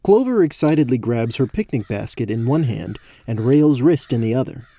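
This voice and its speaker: A man's voice: medium pitch, animated, moderate pace, dark tone. A man narrating a scripted fantasy story as a storyteller.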